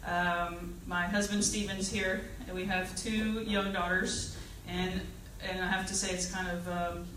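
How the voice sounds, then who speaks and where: A middle-aged woman introduces herself as a candidate at a public forum, speaking in a halting, personable way. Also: lowish voice, little pitch movement, fairly bright tone. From Fayette County, Texas, United States. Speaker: political candidate. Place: public meeting hall, indoors. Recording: distant echoey room, faint noise.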